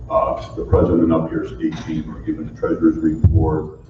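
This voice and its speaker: A man introducing a keynote speaker at a conference, speaking in halting, meandering phrases. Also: rumbling low, completely flat delivery. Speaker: organization president introducing a speaker. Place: large conference hall. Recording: far-off echoey hall, muffled and noisy.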